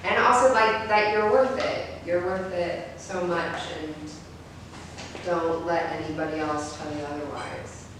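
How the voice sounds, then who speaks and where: A woman's voice: on the low side, fairly even intonation, a little slow. A woman actor chatting casually and conversationally about a role. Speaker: actor reflecting on her character. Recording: distant, very echoey room, faint noise.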